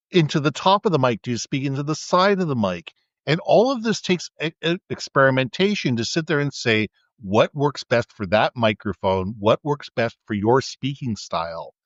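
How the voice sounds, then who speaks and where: A man co-hosting a casual podcast, talking at length in a booming voice, with frequent restarts, into a USB mic kept a little away from his face. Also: no room sound, lo-fi sound. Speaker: podcast co-host. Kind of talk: casual chat. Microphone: USB condenser mic with windscreen, held back from the mouth.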